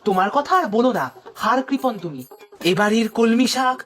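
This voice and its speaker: A woman's voice: a little high, expressive, fairly quick, soft background music, natural voice. A woman voicing a cheeky, whining young village boy in a cartoon, pleading and arguing as he talks. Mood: teasing complaint. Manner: pleading, teasing, argumentative. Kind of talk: Bengali cartoon voice acting.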